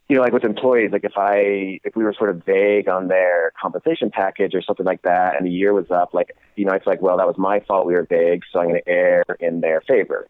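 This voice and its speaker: A young adult man in casual conversation as a guest, talking haltingly with many fillers and restarts. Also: sits low, dry recording. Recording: tinny phone line.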